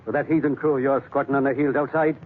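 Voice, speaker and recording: A man's voice: mid-range voice, fairly even intonation, quick pace, narrow, tinny audio, close to an everyday voice. A man playing a bitter, agitated doctor in a 1940s radio drama, speaking in a scornful, accusing, querulous manner. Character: bitter, agitated Irish doctor stranded in tropics. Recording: thin old 1940s radio recording, faint noise.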